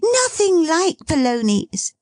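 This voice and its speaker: A woman's voice: very high voice, sing-song, takes it slowly, a little mellow, no echo, voice pushed up, heavily acted. A woman voicing a joyful, teasing young daughter who talks with childlike glee. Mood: gleeful. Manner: gleeful, teasing, breathless.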